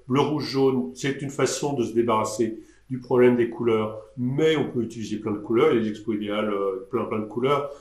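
An older man answers questions from his desk in an online session, speaking at an ordinary pitch with a hesitant, rambling flow full of restarts. His webcam picks up a roomy echo. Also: a little mellow. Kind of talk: live online Q&A. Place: indoor room at a desk.

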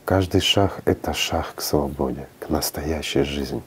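A man's voice: rumbling low, normal speed, slight background noise. A middle-aged man in a studio, speaking earnestly and preaching with rhetorical questions. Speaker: spiritual speaker interviewed in studio. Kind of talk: reflective studio talk.

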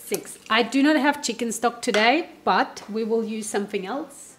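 A woman's voice: fairly high pitch, moderate pace, a little hiss or hum. A woman, a native German speaker, chatting casually through a home cooking demo while sniffly with a cold. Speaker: home cook vlogger. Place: home kitchen, indoors. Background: background music.

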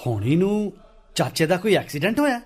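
Hesitant punjabi villager in a radio play, high voice, rustic, careful about everything. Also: male voice, sing-song, fairly quick, a little mellow, slightly rough, exaggerated voice. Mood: puzzled, curious. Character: hesitant, questioning village man, wary of quarrels.

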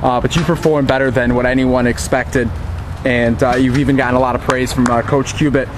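A man's voice: medium pitch, flat delivery, fairly quick. A man interviewing a college athlete outdoors, asking questions with many "uh" fillers. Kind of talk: sports interview. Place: outdoor football stadium. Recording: noisy outdoor recording. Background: outdoor ambience.

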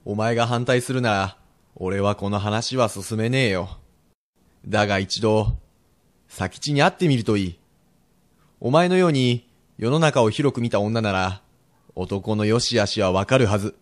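A calm, worldly merchant husband from old Japan, played by a man in a radio drama. His voice sits fairly low and he speaks plainly, with gentle persuasion. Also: unhurried, natural voice.

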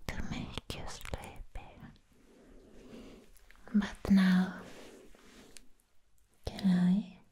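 A woman acts out a sweet young female vampire with a teasing, hungry streak, in an ASMR roleplay. She speaks in a very breathy, soft whisper. Her phrases are drawn out very slowly and stay in a narrow, mellow range of pitch. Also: heavily acted. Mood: gentle, teasing. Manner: slow, lingering, teasing phrases.

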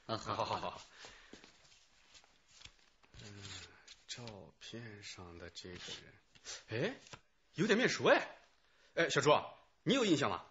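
A man's voice: mid-range voice, huge pitch swings, unhurried, pauses often, fairly bright tone. An actor playing a helpful company security guard in a radio drama, hesitant and colloquial as he recounts what he remembers. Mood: obliging. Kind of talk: Chinese radio drama acting. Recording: muffled band-limited radio drama audio.

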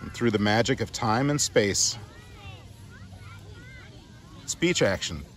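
A man's voice: fairly low pitch, lively intonation, slow, a bit bright, a faint crowd, a little hiss or hum. A man giving a casual, playful hands-on product demo in a chatty, informal way. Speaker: product reviewer.

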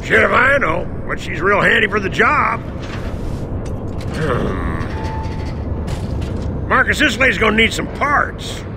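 Good-natured Southern US army mechanic, gravelly, lively swooping pitch, folksy, game cutscene. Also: male voice, slow, pauses often, background noise, full character voice. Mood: folksy, appraising. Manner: folksy drawl, fond of machines.

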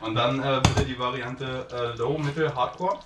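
A man's voice: medium pitch, fairly even intonation, normal speed, a bit bright. A man joking and teasing the cook from across a small home kitchen. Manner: teasing, jokey. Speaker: friend behind the camera. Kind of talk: joking banter. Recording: roomy, distant and noisy.

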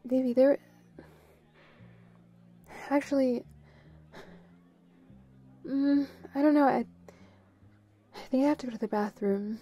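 A woman playing a bubbly, playful, affectionate young girlfriend in an intimate roleplay audio, giggly and given to quick repeated phrases. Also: sits high, slightly rough, heavily acted. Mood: nervous, reassuring. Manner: giggly, breaks into short excited repeats.

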